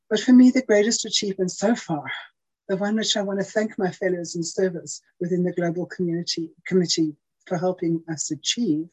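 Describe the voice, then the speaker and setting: A woman's voice: on the low side, a little mellow, slightly rough, low-quality recording. A woman giving an earnest, prepared service talk over a video call. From South Africa. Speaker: outgoing intergroup chair giving a service talk.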